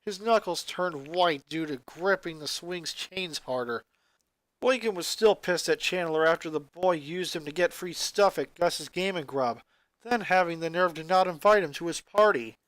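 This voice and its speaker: A narrator reading a scripted story aloud in an expressive way. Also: mid-range voice, moderate pace, no room sound.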